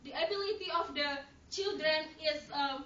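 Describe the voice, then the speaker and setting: A woman giving a student debate speech, halting and full of fillers and restarts in non-native English, heard from far off in an echoey hall on a dull lo-fi recording. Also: high-pitched, sharp tone. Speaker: debater, third speaker of negative team.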